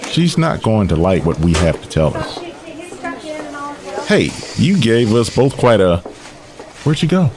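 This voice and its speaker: An actor playing a reluctant, concerned man in a horror audio drama, with a hesitant, measured delivery. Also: lowish voice, very animated.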